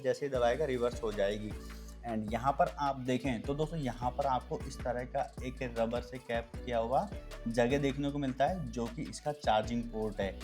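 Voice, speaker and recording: A man's voice: medium pitch, darkish tone. A man giving a casual, step-by-step product review, addressing viewers as friends. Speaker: product reviewer and video host.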